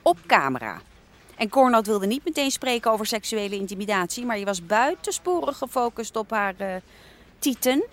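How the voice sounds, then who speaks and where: An actress playing a brisk, exasperated female editor in a radio comedy, clipped and interrogating. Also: on the high side, big pitch swings. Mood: stern.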